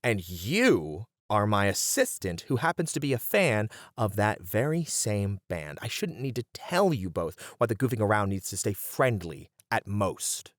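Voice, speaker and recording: An uptight band manager voiced by a man with a low voice, earnest and a little exasperated. He sounds stressed but caring, speaking hesitantly in an ASMR roleplay. The recording is dry. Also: sing-song, a little slow, few pauses, no room sound. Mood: exasperated, stern.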